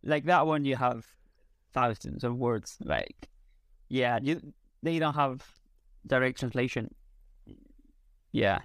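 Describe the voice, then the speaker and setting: A man's voice: mid-range voice, expressive, slow pace, long pauses, fairly bright tone, no room sound. A man from Spain with a Spanish accent, a native Spanish speaker talking casually in English as an interview guest, often searching for his words. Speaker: interview guest, content creator. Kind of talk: casual conversation.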